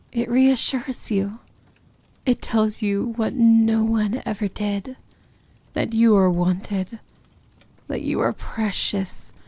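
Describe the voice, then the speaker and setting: A woman playing a feared, possessive and tender villain princess in an intimate ASMR fantasy romance roleplay, speaking deliberately in a breathy voice that often sinks into a whisper. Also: mid-range voice, mellow, no echo, exaggerated voice. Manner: slow, deliberate, commanding yet tender.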